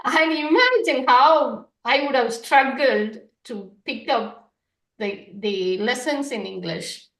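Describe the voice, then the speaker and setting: A woman whose first language is Tamil, telling her story earnestly and haltingly as a guest author. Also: sits high, lively intonation, reverberant, far from the mic, low-quality recording. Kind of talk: personal storytelling.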